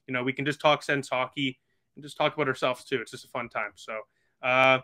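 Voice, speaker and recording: Bright young guy on a call. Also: medium pitch, steady tone, a little fast. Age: about 20. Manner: earnest, chatty, lots of fillers. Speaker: podcast guest, blogger. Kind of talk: casual video call chat.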